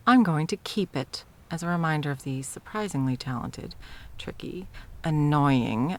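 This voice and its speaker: A woman acting an arrogant, centuries-old immortal sorceress tyrant in an ASMR roleplay, teasing and theatrical, at times slipping into whispers. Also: low-pitched. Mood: gloating, cruel. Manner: mocking, purring, theatrical, slipping into breathy whispers.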